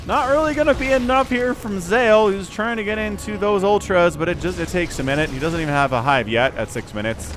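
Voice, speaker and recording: A man in his forties giving lively, excitable play-by-play commentary on a strategy game match. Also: a little high. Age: 40s. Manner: animated, excitable, self-deprecating asides. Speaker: game caster. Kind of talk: esports commentary.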